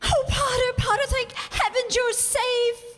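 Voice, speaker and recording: Woman as a very high-pitched melodramatic young heroine. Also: steady tone, a little slow, fairly bright tone. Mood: tender urgency. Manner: melodramatic, pleading, emphatic. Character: anguished, defiant young heroine in love, self-sacrificing. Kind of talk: old-time radio play acting on stage. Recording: echoey hall stage performance.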